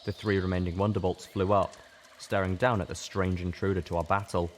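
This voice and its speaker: Very deep-voiced male story narrator. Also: little pitch movement, a little fast, a little hiss or hum. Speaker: audiobook narrator. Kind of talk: scripted first-person story narration.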